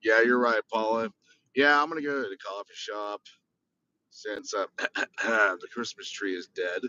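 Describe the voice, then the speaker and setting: A man chatting casually as livestream co-host, laid-back with drawn-out fillers. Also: fairly low pitch, fairly even intonation, bright, forceful tone. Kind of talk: casual chat. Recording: dull lo-fi mic.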